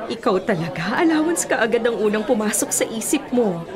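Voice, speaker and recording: A woman's voice: on the high side, very animated, a little fast, crowd noise. A woman acting as a hardworking, self-sacrificing middle-aged widowed mother in a Filipino radio drama, earnest and long-suffering. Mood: proud, tender.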